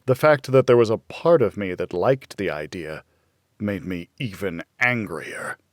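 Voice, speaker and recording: Male narrator for noir thriller audiobook: brooding, slow-paced, very expressive range, tight dry studio sound. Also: lowish voice, no room sound. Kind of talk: scripted first-person thriller narration.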